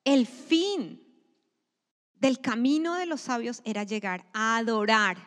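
A higher-voiced woman preaching a sermon, her lines swelling loud and emphatic and then dropping to a hushed near-whisper. She is miked in a church sanctuary, with a long reverb trailing each phrase. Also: slow.

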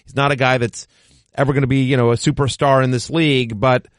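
A man in his forties hosting a casual sports podcast, joking and animated. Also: mid-range voice, fast talker, dry recording, studio quality. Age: 40s. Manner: animated, self-deprecating joker. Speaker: podcast host.

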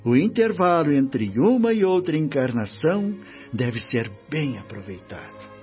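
An actor with a Brazilian accent playing a benevolent, authoritative spirit minister in a radio drama, speaking in a formal, instructive, measured manner. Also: male voice, a little high, very animated. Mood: paternal, solemn. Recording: dull radio recording, faint music.

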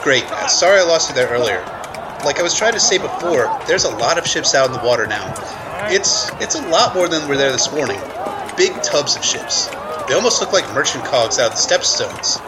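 A man's voice: on the high side, lightly acted. A man playing an earnest war correspondent reporting in a mock live news radio play. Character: earnest male embedded war correspondent in an army camp. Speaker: guest host. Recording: loud wind and outdoor noise.

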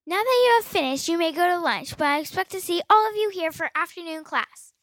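A female performer playing a menacing, tyrannical monster teacher in school readers' theatre, shouting commands. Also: very high-pitched, animated, brisk, no echo. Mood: stern.

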